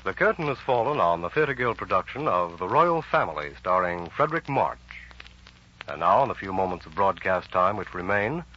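A man's voice: low voice, big pitch swings, normal speed, noisy background. A man announcing formally in a scripted 1940s radio style. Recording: muffled old radio broadcast.

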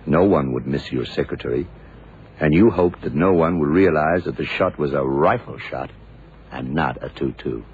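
An actor playing a shrewd, hard-boiled male detective in a 1970s radio drama, speaking deliberately in clipped sentences. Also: extremely low pitch. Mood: stern, accusing. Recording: muffled, noisy vintage radio recording.

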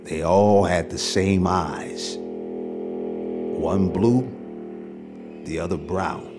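An actor playing a commanding officer debriefing a trooper in a radio drama, measured and authoritative. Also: male voice, low voice, heavily acted. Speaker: superior officer. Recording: music bed under dialogue.

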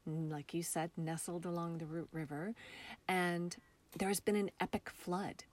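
Lower-pitched American woman, thoughtful and halting. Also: steady tone, a little mellow. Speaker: interview guest, theater artist. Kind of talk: casual reflective interview talk.

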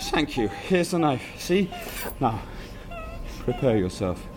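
An actor playing a calm, sadistic male killer who taunts his captive in a soft, mocking, coldly polite way. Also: mid-range voice, slow, background noise. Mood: coldly gleeful. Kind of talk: audio drama acting.

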